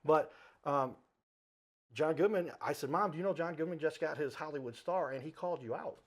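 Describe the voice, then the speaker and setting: A middle-aged man hosts a casual interview in a fairly high voice. He talks very quickly and chattily, slipping into stories, asides and bits of quoted dialogue. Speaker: talk show host.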